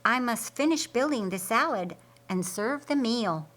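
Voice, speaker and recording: A woman voicing a busy, welcoming female host in a story, with a brisk manner. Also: a little high, expressive, fairly bright tone, pitched above the performer's own voice. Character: brisk, welcoming, busy young hostess Esther.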